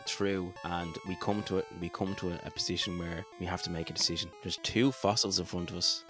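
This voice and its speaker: A man with a fairly low voice co-hosts a podcast game playthrough. He chats and narrates informally, agreeable and happy to swear, with faint music behind him. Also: fairly even intonation, brisk. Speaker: podcast co-host running the game.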